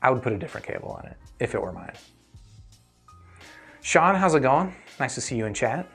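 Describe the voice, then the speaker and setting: A man's voice: mid-range voice, big pitch swings, brisk, gravelly, fairly bright tone, slightly rough, soft background music. A man hosting a live stream in a casual, conversational way, thinking aloud as he answers viewers. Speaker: host of a livestream reviewing earphones.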